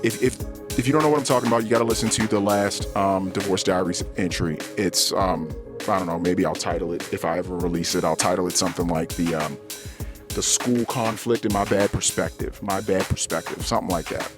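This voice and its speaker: A man's voice: deep voice, a little fast. Emphatic man ranting over music. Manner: emphatic, repetitive, profane. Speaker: podcast host. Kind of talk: unscripted personal rant to listeners.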